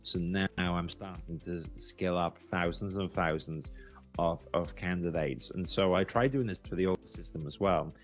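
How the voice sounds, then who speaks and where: A man's voice: low-pitched, normal speed, highs cut off, soft background music. A British man giving a casual, unscripted review as a business founder, explaining things conversationally and repeating words for emphasis. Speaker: recruitment agency founder giving a software review.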